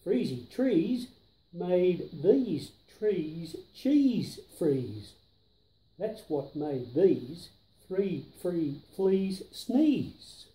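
A man's voice: high-pitched, sing-song, very slow, warm tone. A man in his seventies reading a children's rhyming book aloud, placing each tongue-twisting word carefully and with emphasis. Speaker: storyteller grandfather. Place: indoors, living room on a sofa. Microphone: camera a few feet away. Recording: roomy living-room echo.

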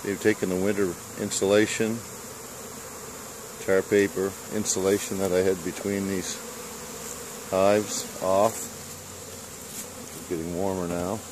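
A beekeeper from Canada narrating his bee yard outdoors in a calm, unhurried way, filming on a handheld phone. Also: low-pitched, background noise. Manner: calm, unhurried commentary in short phrases. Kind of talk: casual outdoor video narration. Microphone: handheld phone camera. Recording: clear bee buzzing outdoors.

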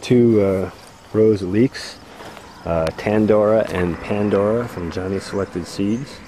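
A man's voice: lowish voice, slow. A man giving a casual walking tour of his vegetable farm, talking easygoing with lots of "uh" fillers. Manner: easygoing, rambling, frequent "uh" fillers. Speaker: farmer giving a garden tour. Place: outdoor vegetable farm fields. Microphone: handheld camera mic, walking. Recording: outdoor camera audio with insect noise. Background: crickets and insects outdoors.

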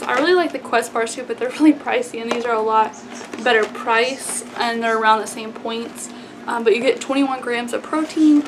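A young American woman with a fairly high voice talks casually to camera in a chatty vlog style. She peppers her informal talk with "like" and "y'all". The recording has clear background noise from the room. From Texas, United States. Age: 20s. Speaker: vlogger. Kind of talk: casual grocery haul chat to camera. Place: small plain indoor room.

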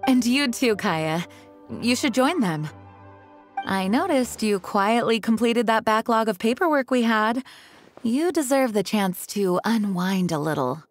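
A woman's voice: on the high side, lively intonation, measured pace, soft background music. An actress playing a composed, responsible young female knight, polite and formal, in an anime-style game. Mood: kindly.

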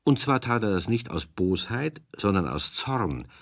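A man narrating a scripted children's story as a storyteller, with a husky voice. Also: lowish voice, big pitch swings, unhurried, no echo, clean studio sound.

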